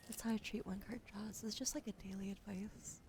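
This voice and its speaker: A woman hosting a livestream, doing an ASMR card reading in a soft, gentle whisper. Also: medium pitch, steady tone, a little fast, background noise. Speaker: livestream host.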